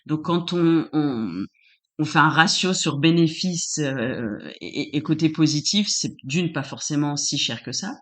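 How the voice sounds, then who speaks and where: A woman's voice: low-pitched, lively intonation, measured pace. Chatty woman, doula guest. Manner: searching for words, frequent restarts. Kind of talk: casual interview answers.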